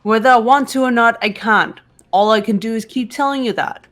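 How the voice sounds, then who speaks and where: A woman playing a cool, guarded young woman in a fan-voiced game, speaking in a measured, composed way. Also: fairly high pitch, fairly bright tone, close to an everyday voice. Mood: guarded, calm.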